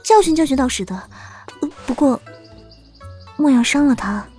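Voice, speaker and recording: Woman playing gentle high-voiced young wife. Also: animated, normal speed, soft background music. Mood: pleading. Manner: tender, pleading. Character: gentle, anxious young wife and mother in ancient China. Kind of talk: period audio drama acting.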